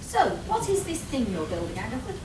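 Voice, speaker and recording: A lively, skeptical barbarian girl played by a woman, high and very animated. She performs in a live radio play, recorded at a distance. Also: fairly quick. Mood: curious, skeptical. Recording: roomy, distant, noisy live stage recording.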